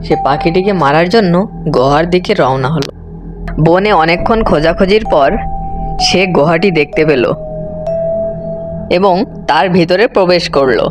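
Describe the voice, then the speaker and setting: Medium-pitched storybook narrator. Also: normal speed, long pauses, over music.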